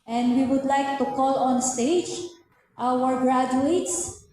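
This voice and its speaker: A woman's voice: sits high, fairly even intonation, slow pace, a little mellow, a little hiss or hum. A woman acting as master of ceremonies, formally announcing speakers at an event. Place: large indoor hall. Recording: echoey hall, long reverb, music behind. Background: music.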